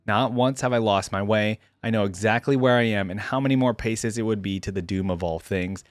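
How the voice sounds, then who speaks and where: A man reading a fantasy story as a solemn, haunted, defiant desert wanderer, in a grave, formal, deliberate manner. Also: lowish voice, no echo, clean studio sound, natural voice.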